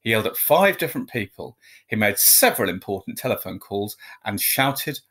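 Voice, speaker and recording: A man reading a children's story aloud to students, with measured phrasing and expressive, dramatic emphasis. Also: lowish voice.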